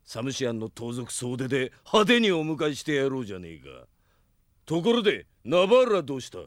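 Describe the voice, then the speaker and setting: Actor as a swaggering bandit chief with swooping delivery. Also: male voice, mid-range voice, very animated, brisk, no room sound, studio quality, lightly acted. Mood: gloating, scheming. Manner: rough, swaggering speech. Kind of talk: Japanese radio drama acting.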